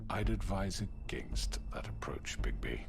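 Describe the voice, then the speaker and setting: A man playing a cold, formal crime boss in a video game, speaking with measured, silky menace. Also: low-pitched, lively intonation, vocal fry, full character voice. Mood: coolly bargaining. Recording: game audio under loud music.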